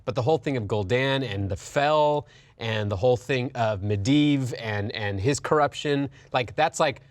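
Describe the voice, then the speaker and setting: A man's voice: medium pitch, moderate pace, no room sound. Man in an emphatic casual discussion, dry sound. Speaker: talk show panelist.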